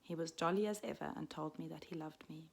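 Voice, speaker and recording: A woman in her forties, raised in Switzerland, reading a personal story aloud in a soft, reflective way that often drops to a half-whisper. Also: low-pitched, fast talker, vocal fry, husky. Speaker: midwife and storyteller.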